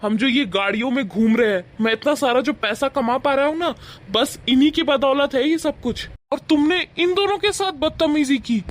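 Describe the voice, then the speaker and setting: A man voicing a devoted adult son, earnest and protective of his family, in an animated moral story. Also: extremely high pitch, moderate pace. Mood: indignant.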